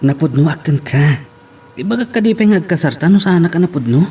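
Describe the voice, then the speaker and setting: A man's voice: fairly high pitch, lively intonation, quick pace, dark tone, close to an everyday voice. A man voice-acting an anxious, devoted, lovestruck suitor in an Ilocano love drama. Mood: eager, smitten. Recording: distant mic, faint noise.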